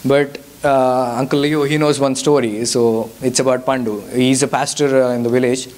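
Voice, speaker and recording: A man's voice: medium pitch, little pitch movement, moderate pace, a little mellow. A young man from India with a Telugu-accented English, a Telugu native speaker answering audience questions as a guest speaker at a church youth service, hesitant with frequent fillers and restarts.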